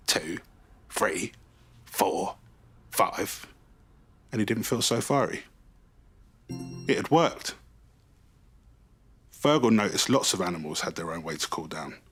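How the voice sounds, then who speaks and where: Husky low-voiced man, very animated. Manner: animated, with drawn-out emphasis on key words. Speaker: children's bedtime story reader. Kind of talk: expressive storybook narration for young children.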